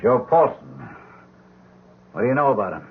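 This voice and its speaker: A man's voice: medium pitch, very animated, normal speed, close to an everyday voice. An actor playing a hard-nosed American police lieutenant in a radio drama, curt, clipped and pressing with his questions. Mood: brisk, businesslike. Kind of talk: 1970s radio drama acting. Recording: tinny, hissy 1970s radio broadcast.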